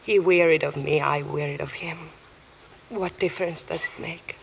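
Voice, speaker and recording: An actress playing a proud, world-weary widow accused of murder, speaking in a measured, guarded, formal way in an old radio drama. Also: female voice, low voice, fairly even intonation, gravelly, husky, full character voice. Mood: weary, defiant. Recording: noisy vintage radio broadcast.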